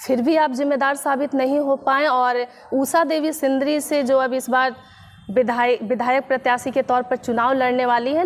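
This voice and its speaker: A woman with a clearly high voice conducts a political interview. She speaks as a news reporter, framing pointed questions to her guest.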